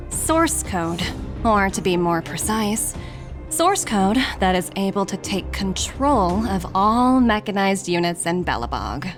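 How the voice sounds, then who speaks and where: A woman's voice: medium pitch, very animated, takes it slowly. A woman playing a confident, shrewd young corporate envoy in a video game, speaking in an assured, articulate way. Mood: assured, calculating. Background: music.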